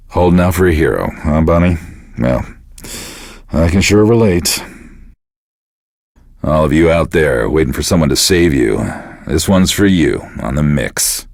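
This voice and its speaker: Casting: sour, deadpan American divorced DJ, middle-aged, low register with lively swings, close dry studio sound. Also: male voice, no room sound. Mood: self-pitying. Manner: deadpan, sardonic, profane. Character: bitter, self-pitying divorced middle-aged male radio DJ. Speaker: radio DJ. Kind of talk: casual radio DJ patter.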